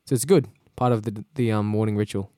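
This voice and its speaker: A young Australian man with a smooth, resonant voice sitting a little low in pitch. He talks in a laid-back, rambling way and laughs easily. The sound is close and dry, with no room behind it. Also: fairly even intonation, fast, warm tone, no room sound. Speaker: podcast co-host. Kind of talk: casual chat. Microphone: podcast microphone.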